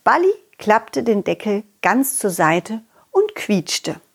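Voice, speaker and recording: A woman voicing a confident, loyal, cheerful dog who tells stories to children in an excitable, childlike way, as in an audio play. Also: mid-range voice, slow, vocal fry, breathy edge, exaggerated voice. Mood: reluctant, anxious. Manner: excitable, childlike, speaking directly to child listeners. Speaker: puppeteer and storyteller.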